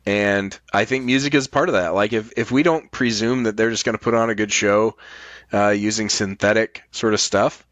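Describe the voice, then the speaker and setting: A man's voice: on the low side, steady tone, moderate pace, fairly bright tone, no echo. A middle-aged man in casual discussion, arguing his opinions emphatically and with some swearing. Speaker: podcast guest.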